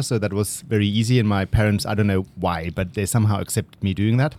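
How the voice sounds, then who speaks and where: A middle-aged man with a distinct German accent talks through his past in an easy, anecdotal way. His voice sits fairly low, and his sentences often fade softly toward the end. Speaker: guest investor. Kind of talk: conversational interview answers.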